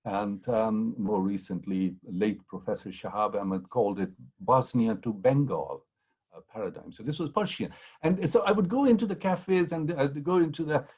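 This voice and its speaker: A man's voice: on the low side, normal speed, darkish tone, husky. A man, a historian on a panel, telling rambling anecdotes full of ums and restarts. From Pakistan. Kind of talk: anecdotal storytelling in a panel talk.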